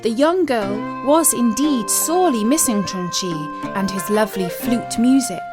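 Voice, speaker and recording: A woman narrating a scripted story as a storyteller, in an expressive, deliberate and solemn dramatic manner. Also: fairly high pitch. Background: music.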